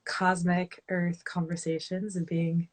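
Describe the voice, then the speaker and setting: A woman hosting a podcast with a slightly low voice. Her talk is effusive and heartfelt, often dropping into soft asides, over a video call. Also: flat delivery, slow. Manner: warm, effusive, trailing into softer asides. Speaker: podcast host. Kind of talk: casual interview.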